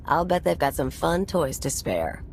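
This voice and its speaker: An easygoing female gunslinger crewmate in a sci-fi game. Her voice is low, and she sounds casual and wry. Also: big pitch swings, normal speed, background noise. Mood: breezy. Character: easygoing, wry female gun-loving crew companion. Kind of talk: video game cutscene acting.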